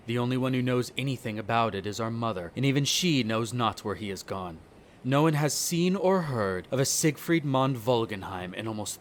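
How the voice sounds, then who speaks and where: A man acting a haughty, bitter centuries-old vampire noble in an audio roleplay, speaking in a formal, measured, condescending way. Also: on the low side, a little hiss or hum. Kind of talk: scripted roleplay audio drama monologue.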